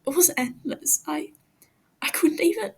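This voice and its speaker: A woman voicing a frightened, wounded male captive who gasps and stammers through halting speech in a story reading. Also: high voice, lively intonation, vocal fry, whispered, voice pushed up, heavily acted. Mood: anguished.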